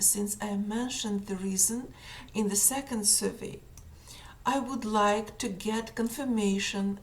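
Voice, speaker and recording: A woman with a strong Russian accent and Russian as her native language, reading out a numbered list of objections in a halting, methodical and pointed way, with many fillers. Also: mid-range voice, recorded from a distance. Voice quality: halting, many fillers, careful deliberate phrasing. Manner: methodical, pointed, slightly sarcastic. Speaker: complainant reading a list of errors.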